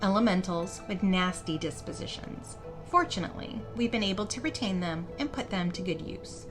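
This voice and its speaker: A woman voicing a pragmatic, unsympathetic spa proprietor in a fantasy story, brisk and unfeeling. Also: mid-range voice, music in the background, natural voice. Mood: matter-of-fact.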